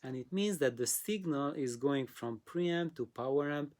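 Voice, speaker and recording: Halting explainer in casual technical talk. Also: mid-range voice, animated, darkish tone. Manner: halting, pausing, explains with simple hands-on analogies. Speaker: host answering audio questions.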